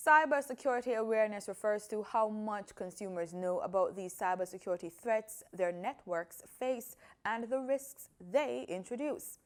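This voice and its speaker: Jamaican woman news anchor, medium pitch. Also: moderate pace, hardly any pauses. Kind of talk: scripted news reading.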